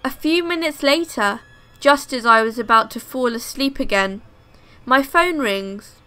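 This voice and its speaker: A woman reading first-person scary stories aloud as scripted narration, in a measured storytelling manner. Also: sits high, animated, bright, forceful tone, clear, resonant tone.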